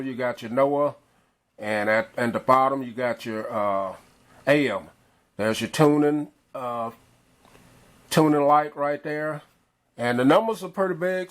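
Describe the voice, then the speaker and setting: Easygoing middle-aged male reviewer, mid-range voice, unhurried, loose and rambling delivery. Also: medium pitch. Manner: relaxed, rambling, frequent uhs. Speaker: gadget reviewer. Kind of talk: casual product review.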